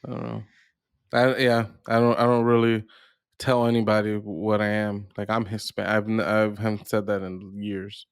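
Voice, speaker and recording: Guy on a podcast, low crisp voice, thinks out loud, halting and casual. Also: little pitch movement, no room sound, studio quality. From United States. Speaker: podcast host.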